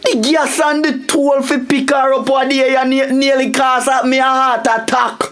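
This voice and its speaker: A man's voice: extremely high pitch, little pitch movement, moderate pace, fairly bright tone, slight background noise. An actor playing a flustered, grumbling Jamaican family father in a radio comedy drama, stammering as he complains. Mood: flustered complaining.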